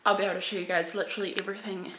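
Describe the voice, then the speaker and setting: An eighteen-year-old woman with a croaky voice from a cold, chatting casually to a handheld camera in a chatty, rambling way. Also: mid-range voice, level tone, rapid-fire, fairly bright tone, slight background noise. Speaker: parenting vlogger. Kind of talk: casual vlog talk to camera. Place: bright open-plan living room and kitchen. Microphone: handheld camera at arm's length. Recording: echoey room.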